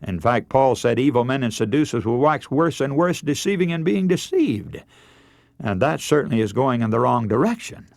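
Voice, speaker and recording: A man's voice: medium pitch, very animated, moderate pace, vocal fry, no room sound, studio quality. A middle-aged man preaching a radio sermon in an earnest, emphatic, oratorical manner. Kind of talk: radio sermon with scripture readings.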